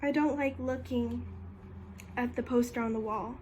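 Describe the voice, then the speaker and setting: A woman's voice: on the high side, fairly even intonation, a little mellow, slight background noise, close to an everyday voice. A young woman playing a shy, wistful, grieving teenage classmate in an amateur drama, speaking hesitantly. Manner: hesitant, halting delivery. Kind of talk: amateur stage drama monologue.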